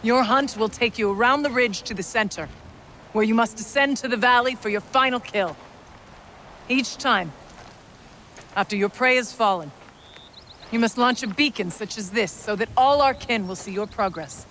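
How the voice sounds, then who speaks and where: Lady doing a solemn game chieftain, bright firm voice, faint hiss behind. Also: on the high side, moderate pace, pauses often, natural voice. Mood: ceremonial. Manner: solemn, formal. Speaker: game character.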